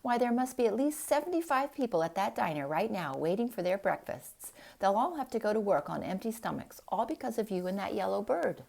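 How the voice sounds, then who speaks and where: A woman's voice: mid-range voice, lively intonation, brisk, vocal fry, hardly any pauses, darkish tone. A woman voicing an angry, accusing neighbor woman in a read-aloud storybook, emphatic and scolding. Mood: angry, indignant.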